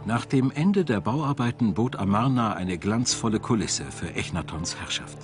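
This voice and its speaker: A man's voice: fairly low pitch, lively intonation, moderate pace, over music. A man reading scripted narration for a documentary.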